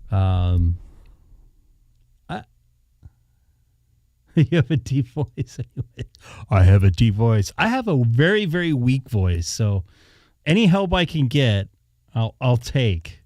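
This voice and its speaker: A man's voice: medium pitch, animated, slow, pauses often, mellow, no echo. A man hosting a radio hobby live stream, chatting casually and thinking aloud in a rambling way. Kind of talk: casual chat. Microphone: dynamic studio mic held in hand.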